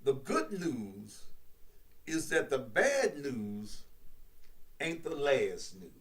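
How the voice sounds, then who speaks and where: A man in his sixties, a radio host and preacher, talking in a slow, emphatic preaching cadence. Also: fairly bright tone, recorded from a distance. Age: 60s. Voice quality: baritone. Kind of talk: preachy live-stream talk.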